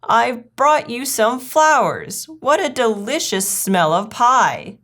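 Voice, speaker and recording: A woman's voice: lowish voice, animated, measured pace. A well-mannered lady dog paying a call in a storybook, as a woman reader puts her on. She is anxious and formal, with a fretful, mannered politeness. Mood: mannered, polite.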